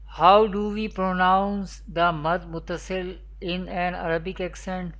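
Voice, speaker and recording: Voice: medium pitch, little pitch movement, a little slow, a bit bright. A Quran teacher giving a scripted online lesson in a didactic, repetitive manner, asking questions and then answering them. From Pakistan.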